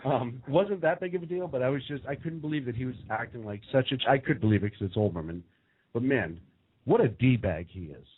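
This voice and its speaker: A man's voice: medium pitch, animated, brisk, slightly rough. A man co-hosting a casual political talk show, joking and sarcastic as he tells stories, often breaking into laughs. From United States. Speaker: co-host. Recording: phone-like narrow sound.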